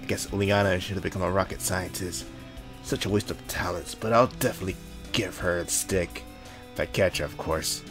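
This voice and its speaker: A man with a low voice reads a visual novel aloud as a gaming host. He is jokey and casual, stumbling now and then and correcting himself. Music plays softly behind him. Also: steady tone, fairly quick. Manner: jokey, slips and corrects himself while reading. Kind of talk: reading a visual novel aloud with joking asides. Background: background music.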